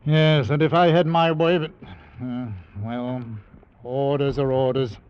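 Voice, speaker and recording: Gruff, curt ship captain actor, muffled radio. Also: male voice, mid-range voice, level tone, unhurried, natural voice. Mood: grudging. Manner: curt, clipped, stern. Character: gruff, cautious, grudging cargo ship captain. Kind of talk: old-time radio drama acting. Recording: muffled vintage radio recording.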